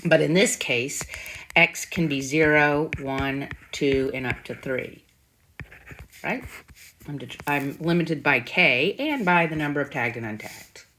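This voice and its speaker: Low-pitched woman teaching math informally. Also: lively intonation, a little slow, a bit bright, rough recording. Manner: thinking aloud, frequent check-in questions. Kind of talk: informal whiteboard math tutorial. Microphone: screen-recording voice-over. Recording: faint background noise with clicks.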